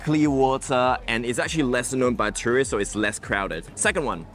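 A man's voice: medium pitch, fairly quick, a bit bright, soft background music. A young man vlogging outdoors on a tropical island, explaining the tour in a chatty, playful way into a lapel mic. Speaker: travel vlogger. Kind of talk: casual travel vlog narration.

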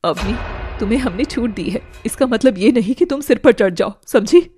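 A woman voicing a stern, bossy older mother-in-law in an animated family drama, scolding and sharp. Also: a little high, moderate pace, slightly rough, no echo, soft background music.

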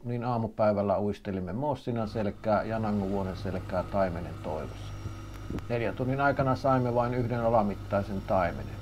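A man's voice: on the low side, highs cut off, background noise. A man narrating a fishing trip video in a scripted style.

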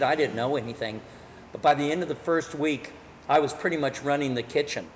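Older man, chef, folksy sales pitch, plain-spoken, reverberant room, faint background music. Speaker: chef and business owner. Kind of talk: promotional pitch to camera. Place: commercial kitchen and office.

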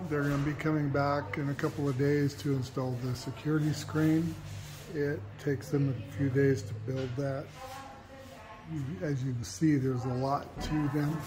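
A man's voice: medium pitch, level tone, unhurried. A man walking through his renovated house, explaining the work in a casual, matter-of-fact way. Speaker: homeowner showing his renovation. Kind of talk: casual walk-through narration. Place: house under renovation, in and out of doors. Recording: echoey rooms with loud background noise.

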